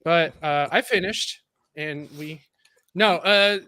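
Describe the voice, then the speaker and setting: A man's voice: on the high side, slow pace, pushed and bright, no echo. A man with a Californian accent chatting on a podcast panel, rambling with many restarts and laughs. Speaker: podcast co-host. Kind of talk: casual panel chat.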